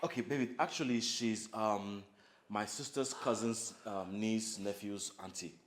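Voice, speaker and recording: An actor playing a lying, philandering husband in a film melodrama, stammering and repeating himself evasively. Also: male voice, lowish voice, measured pace, close to an everyday voice. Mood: flustered, evasive.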